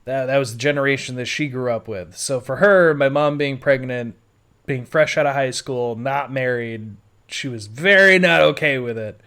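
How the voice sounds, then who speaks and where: A man's voice: medium pitch, moderate pace, bright, forceful tone, clear, resonant tone. A man with an American accent telling personal stories casually, rambling informally and swearing. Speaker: podcast host.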